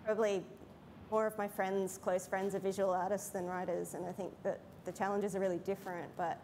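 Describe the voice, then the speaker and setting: A woman's voice: mid-range voice, flat delivery, a little fast, a little mellow, a little hiss or hum, rough recording. Hesitant woman, filler-laden. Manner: hesitant, filler-heavy, reflective. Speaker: panelist, editor. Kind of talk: panel discussion. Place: large hall, seated on stage.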